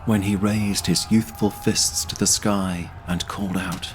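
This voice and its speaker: A man's voice: sits low, fairly even intonation, unhurried, slight background noise. A man narrating a scripted fantasy audio drama in a solemn storytelling manner.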